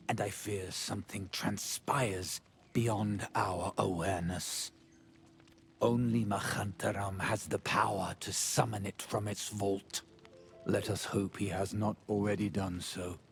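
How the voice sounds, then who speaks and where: An actor playing a proud, wary gorilla lord in a fantasy game, with slow, weighty and imperious delivery. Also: male voice, lowish voice, vocal fry. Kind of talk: dramatic fantasy game acting.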